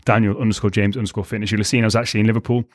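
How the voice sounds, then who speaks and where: A male podcast host and fat loss coach, talking solo with emphatic, repeated punchlines and a slightly croaky voice. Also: fairly low pitch, flat delivery, rapid-fire, no room sound, studio quality. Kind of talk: solo motivational podcast monologue.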